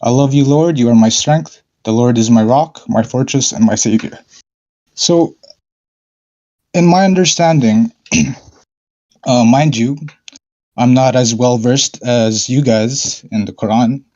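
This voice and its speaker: A man calling into an online discussion, speaking hesitantly with many fillers and frequent throat clearing. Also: mid-range voice, takes it slowly, long pauses, mellow, lo-fi sound. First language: not Arabic. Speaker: caller. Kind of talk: casual debate call-in.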